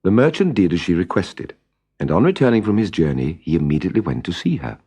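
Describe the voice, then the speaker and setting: A man's voice: sits low, sing-song, quick pace, warm tone, slightly rough. A man reading a scripted children's story as narrator, with music behind him.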